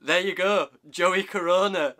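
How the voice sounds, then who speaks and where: A man's voice: sits high, unhurried, bright, forceful tone, slight background noise. A British man in his thirties chatting casually and jokily with a mate, indoors in a small cabin. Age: 30s. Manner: jokey, matey. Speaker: vlogger interviewing a friend by phone. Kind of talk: casual chat, banter.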